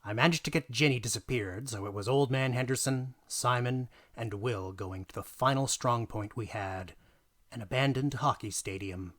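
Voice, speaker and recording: A man narrating a dramatic reading of a tabletop gaming story, theatrical and emphatic, pausing for effect. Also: medium pitch, a bit bright.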